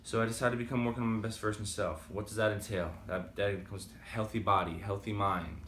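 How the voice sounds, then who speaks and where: A man's voice: lowish voice, fairly even intonation, fairly quick, a bit bright, echoey room, slight background noise. A man with a slight Boston accent talking casually and rambling with many fillers in a motivational vlog. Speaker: vlogger. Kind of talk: casual motivational talk to camera.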